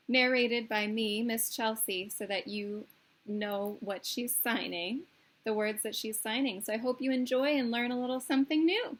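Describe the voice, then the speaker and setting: A woman's voice: mid-range voice, a bit bright. A woman hosting a children's storytime, reading aloud expressively in simple phrases for young listeners.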